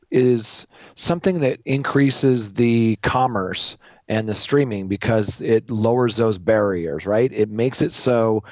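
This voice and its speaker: Man, medium pitch, casual co-presenter, explanatory chat, dull dry recording. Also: darkish tone, no room sound.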